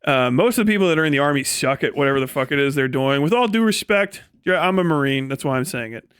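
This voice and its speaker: A man with a fairly high, clean and smooth voice talks casually as a live streamer, close and dry with little room sound. He is blunt and sweary, wandering through his opinions in a mix of formal words and slang. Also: expressive, quick pace, a bit bright, dry recording. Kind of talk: casual opinionated livestream talk.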